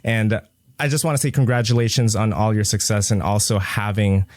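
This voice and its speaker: A man hosts a podcast interview, asking his questions politely and a bit formally. His voice is low and recorded close and dry. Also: steady tone, brisk, a little mellow, no room sound. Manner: polite, formal, some hesitations. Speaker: podcast host. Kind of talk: interview hosting.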